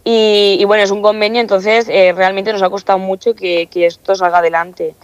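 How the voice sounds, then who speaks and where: A woman in her early twenties with an accent from Spain speaks conversationally as an interviewee. Her voice sits at a medium pitch and her delivery is earnest and emphatic. The recording is dry and a little muffled. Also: little pitch movement, brisk, no echo. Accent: Spanish from Spain. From Castilla-La Mancha, Spain. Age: early 20s. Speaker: student council president being interviewed.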